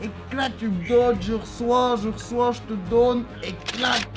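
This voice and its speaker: A man's voice: very high-pitched, fairly even intonation, a little slow, loud music behind the voice. A man voicing a sleazy, smug middle-aged photographer on a prank phone call, with a hesitant, suggestive drawl. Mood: excited, theatrical.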